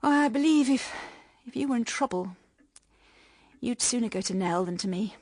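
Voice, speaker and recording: An actress playing a pleading, proud young British wife in a period radio drama, speaking with emotional, halting delivery. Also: female voice, on the high side, expressive, darkish tone. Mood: sad, reproachful. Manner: emotional, halting, stammering under strain.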